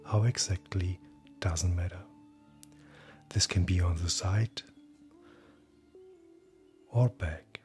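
A man speaking as a hypnotherapist, guiding sleep hypnosis in a calm, gentle, breathy voice that often nears a whisper. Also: low-pitched, slow, long pauses. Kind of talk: guided hypnosis, soothing scripted narration. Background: soft background music.

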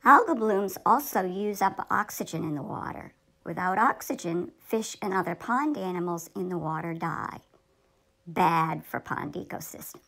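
Woman playing an earnest schoolgirl explainer, creaky voice. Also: lowish voice, animated, moderate pace, a bit bright, exaggerated voice, low-quality recording. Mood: explaining. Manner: explanatory, instructive. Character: knowledgeable fifth-grade girl in a puppet show, earnest explainer. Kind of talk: scripted puppet show dialogue.

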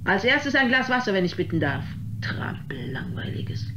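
A woman's voice: medium pitch, big pitch swings, normal speed, close to an everyday voice. Radio drama diva, played by a woman: haughty, pompous, condescending female singer. Muffled, noisy recording. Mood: disdainful, peevish. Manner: pompous, disdainful.

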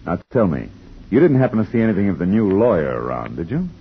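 A man's voice: deep voice, sing-song, fast talker, a little hiss or hum. An actor playing a wry, hard-boiled male private detective who narrates in a dry, laconic way, in a 1950s radio drama. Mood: sardonic. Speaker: narrator and lead. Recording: dull lo-fi 1950s radio broadcast with music.

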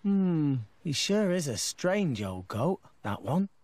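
A man's voice: on the high side, very animated, takes it slowly, darkish tone, dry recording, exaggerated voice. An actor playing a plucky, hot-headed teenage boy hero in a video game, earnest and defiant. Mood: curious. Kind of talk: video game cutscene voice acting.

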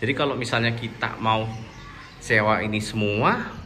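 A man walks through a place with his phone, describing what he sees in a relaxed, friendly way. His voice is bright and clear in tone. Faint outdoor sounds and passing vehicles sit behind him. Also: fairly low pitch, normal speed, a little hiss or hum. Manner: friendly, informal, points things out as he walks. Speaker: vlogger touring a cafe and guesthouse. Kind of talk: casual walk-through narration. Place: outdoors and indoors at a mountain cafe and lodging.

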